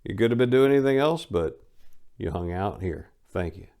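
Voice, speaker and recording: A man's voice: lowish voice, lively intonation, moderate pace, mellow, clean tone. A man giving a dramatic reading in a conversational, storytelling way with emphasis on key words. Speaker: host narrating a dramatic book reading. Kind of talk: dramatic first-person audiobook reading.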